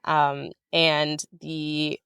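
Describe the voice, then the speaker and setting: A woman's voice: deep voice, level tone, very slow, a bit bright, smooth, no room sound, studio quality. An American woman chatting casually as an interview guest, enthusiastic and informal, talking in tumbling asides. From United States. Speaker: writer-director guest.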